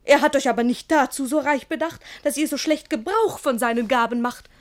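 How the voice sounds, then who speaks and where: A young woman doing an insistent, high-voiced old matchmaker. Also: a little fast, lightly acted. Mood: flattering, reproachful. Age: older. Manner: emphatic, lamenting, wheedling. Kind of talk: classic German radio play in rhymed verse.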